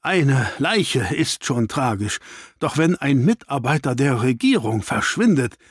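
A man's voice: mid-range voice, very animated, unhurried, slightly rough, no room sound. Man as police inspector, male, worried, earnest, official, crime audiobook, dry close recording. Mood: troubled. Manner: grave, official.

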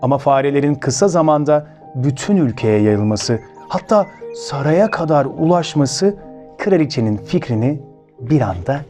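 A man's voice: on the high side, expressive, normal speed, dark tone, faint music behind. A man with a Turkey Turkish accent hosting a children's story show, speaking expressively and addressing his listeners directly as friends.

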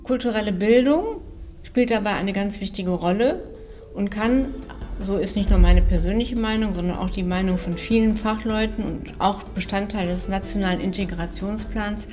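A woman's voice: mid-range voice, a little mellow. Formal woman in her sixties, music behind. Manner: measured, formal. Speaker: city cultural office official. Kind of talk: documentary interview statement. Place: indoor interview setting. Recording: music under the voice.

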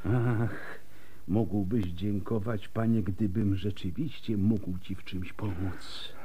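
An actor playing a blunt, world-weary, scarred plague doctor in a radio drama, gruff and emphatic, with bitter resignation. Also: male voice, on the low side, fairly even intonation, slow, dark tone, a little hiss or hum. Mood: bitter, resigned.

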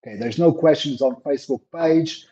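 A man's voice: normal speed, warm tone. A man hosting a webinar over a video call, answering questions in hesitant full of fillers and restarts. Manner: hesitant, many fillers and repeated words; says his own voice is a little deep. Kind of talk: live webinar Q&A. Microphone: laptop mic on a video call. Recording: dull lo-fi call audio.

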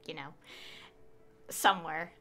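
A woman's voice: low voice, slow, pushed and bright, faint music behind. A woman in her twenties giving casual, rambling, giggly commentary as a game streamer.

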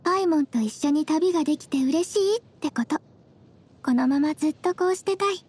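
Casting: actress for young traveler heroine, anime game, very high girlish pitch, soft-spoken, composed, gently teasing. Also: brisk, close to an everyday voice. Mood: gentle, reflective.

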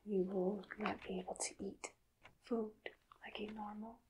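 A woman speaking in a soft, breathy whisper, slow and soothing, as a calm doctor in an ASMR roleplay. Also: mid-range voice, heavy background noise. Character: calm hypnotist doctor addressing a robot patient.

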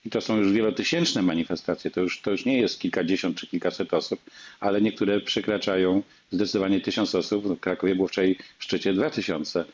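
A man with a low, husky voice moderates a political debate. He speaks haltingly and repeats words, over slightly muffled call audio. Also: fairly even intonation, a little mellow. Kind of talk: political talk show moderation. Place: indoors on a video call.

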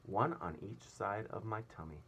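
Man reading as a courtly old grasshopper, low-voiced. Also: unhurried, a bit bright, heavily acted. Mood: gentle, teasing. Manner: courtly, lecturing. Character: old green grasshopper, courteous proud elderly violinist. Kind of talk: children's storybook read-aloud. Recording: clear room noise.

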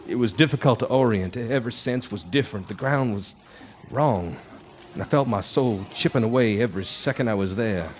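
A man's voice: mid-range voice, a little fast, close to an everyday voice. An actor playing a bitter, haunted, hard-drinking guitarist, telling his tale in a brooding, theatrical noir radio drama style. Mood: anguished, intense. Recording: live stage recording with background noise.

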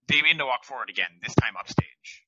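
A young man reading stage directions in a plain, steady way over a headset on a video call. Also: medium pitch, fast, dry recording, lo-fi sound. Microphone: gaming headset on video call.

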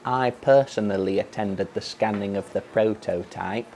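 Man acting deadpan low-voiced project overseer. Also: normal speed, faint music behind, natural voice. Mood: grave, confident. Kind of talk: mock documentary interview.

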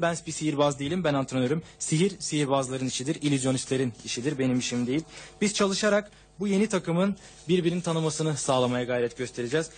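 A man's voice: a little high, husky. A man interpreting a football coach's long answer at a press conference, talking steadily through a table microphone.

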